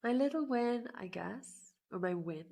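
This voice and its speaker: A woman in her twenties chatting as a podcast guest, self-deprecating and quick to laugh. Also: a little high, expressive, creaky, darkish tone. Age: 20s. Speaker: guest musician. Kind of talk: casual podcast interview.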